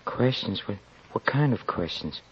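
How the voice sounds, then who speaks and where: Proud, nervous writer, dull old recording. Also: male voice, fairly low pitch, lively intonation, a bit bright, husky, heavily acted. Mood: anxious. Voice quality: tense, halting speech. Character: proud, nervous, guilt-ridden impoverished young male writer. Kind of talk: old-time radio drama acting.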